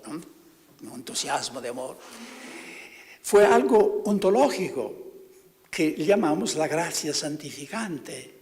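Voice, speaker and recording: A man, a priest, giving a spiritual talk to fellow priests, halting and often stopping to search for words. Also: a little high, vocal fry, long reverb tail.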